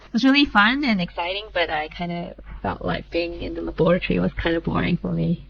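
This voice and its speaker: Woman, 30s, from Malaysia, founder guest; lowish voice, chatty with filler asides, slightly dull recording. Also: gravelly, a little hiss or hum. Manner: chatty, quick asides with frequent "like" and "kinda". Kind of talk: casual interview talk.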